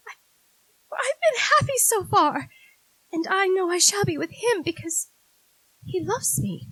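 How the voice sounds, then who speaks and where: A woman's voice: very high voice, lively intonation, a little slow, a bit bright, full character voice, low-quality recording. A woman acting a proper, earnest, romantic young lady in a 1940s-style radio drama, prim and theatrical. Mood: indignant. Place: live stage in a theater.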